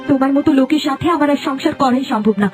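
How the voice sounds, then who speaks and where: A woman plays a cartoon village wife with an extremely high voice. She scolds in exasperation at a quick clip, over faint music. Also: natural voice. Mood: scolding. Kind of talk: Bengali cartoon dubbing. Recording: music under the voice.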